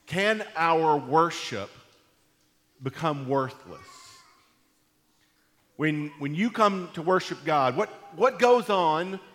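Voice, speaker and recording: A man preaching to his church in a folksy, rambling way, his voice gone deep and congested from allergies. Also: lively intonation, slow pace, pauses often, fairly bright tone, in a large hall. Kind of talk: sermon. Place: church sanctuary.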